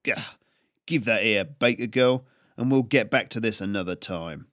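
A man's voice: fairly low pitch, normal speed, a bit bright, dry recording, studio quality, natural voice. A man voicing a greedy, bullying tax collector who sneers and threatens. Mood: sneering. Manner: sneering and gruff.

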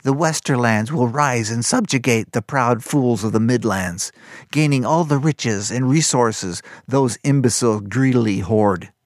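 A man's voice: mid-range voice, measured pace, no room sound, clean studio sound, natural voice. A man voicing a cruel, scheming old male master who barks and sneers at his servant boy. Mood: harsh scornful. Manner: harsh, barking, contemptuous.

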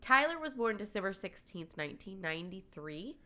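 A woman's voice: mid-range voice, very animated, brisk, pushed and bright. A woman co-hosting a casual podcast, stuffed up, chatty and laughing often as she starts a true crime story. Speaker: podcast co-host.

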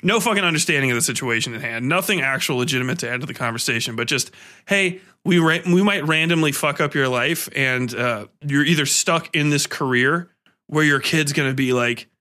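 A man's voice: mid-range voice, a little fast, pushed and bright. A man hosting a casual podcast, talking in a blunt, rambling, profane way. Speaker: podcast host.

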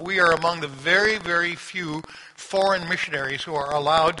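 A man's voice: a little high, bright, forceful tone, a little hiss or hum. A man in his sixties from Sri Lanka, a missionary giving an informal, conversational talk to a church congregation. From grew up in Sri Lanka. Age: 60s. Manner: conversational, storytelling. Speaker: missionary and visiting church speaker. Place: large church room, speaking through a microphone. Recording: long hall reverb.

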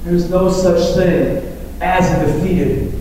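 A man with a hoarse voice preaching an informal, repetitive charismatic church sermon, calling on the congregation to respond. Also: high voice, fairly even intonation, slow, warm tone. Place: large reverberant church room. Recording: echoey hall, distant mic, faint noise.

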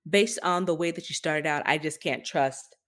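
Low-voiced woman, opinionated podcast co-host. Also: normal speed, fairly bright tone. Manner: opinionated, emphatic, skeptical. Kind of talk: tech news commentary, conversational.

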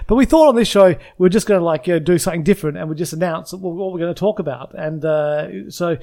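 Australian male podcast co-host, gravelly, rambles with lots of ums. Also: high voice, fast, mellow. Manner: rambling, many fillers and restarts. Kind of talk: casual chat.

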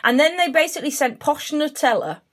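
Woman podcast co-host, highish voice, chatty n emphatic, telling stuff casually. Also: a little fast, a bit bright. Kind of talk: casual chat.

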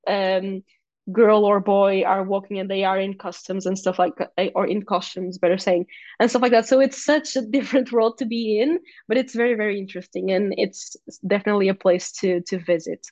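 A woman's voice: mid-range voice, steady tone, brisk, a little mellow, no room sound, dull audio. A young woman from Portugal with a Portuguese accent, a guest athlete chatting casually, with many restarts and fillers. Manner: talkative, many restarts and fillers, laughs easily. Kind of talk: casual interview chat.

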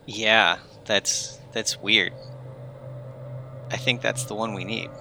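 A man's voice: on the low side, steady tone, slow pace, bright, forceful tone. A man chatting casually and improvising as a player in a tabletop game, with faint noise behind him. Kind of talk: casual in-character improvised chat.

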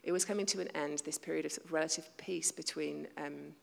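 A woman preaching a sermon to her congregation, talking conversationally, poking fun at herself, and dropping into hushed asides. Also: low voice, fairly even intonation, fast, gravelly. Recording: long hall reverb.

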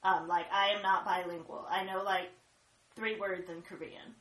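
A woman speaking frankly in a casual panel discussion, animated but dropping low and quiet when serious. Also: moderate pace, reverberant, poor audio. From United States.

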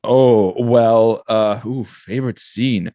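A man's voice: on the low side, slow, mellow, dry recording, clean studio sound. A man co-hosting a podcast in casual chat, loose and joking with plenty of fillers. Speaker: podcast co-host.

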